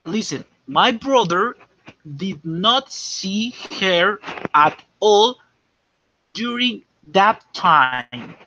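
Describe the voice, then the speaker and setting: A man teaching English, dictating slowly and checking often that students understand. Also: high-pitched, lively intonation, pushed and bright, no room sound. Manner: slow and repetitive, checking understanding. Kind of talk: classroom dictation lesson. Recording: dull lo-fi classroom recording.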